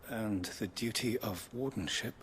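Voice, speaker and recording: A man acts out a solemn, devout knight from a fantasy game, with a very low voice. He speaks with stately deference in archaic, formal phrases, sometimes hushed. There is faint noise behind him. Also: measured pace, lightly acted. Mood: solemn, deferential. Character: solemn devout male knight and chronicler of a secret order.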